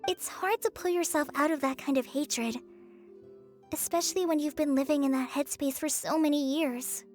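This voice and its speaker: Woman acting a high-voiced, composed young investigator. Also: normal speed, natural voice. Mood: thoughtful, measured. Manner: calm, analytical. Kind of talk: video game voice acting. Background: soft game music.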